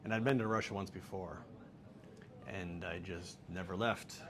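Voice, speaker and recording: A middle-aged American man giving relaxed, joking answers as an interview guest. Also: sits low, normal speed. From United States. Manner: relaxed, joking, laughs easily. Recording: faint background noise.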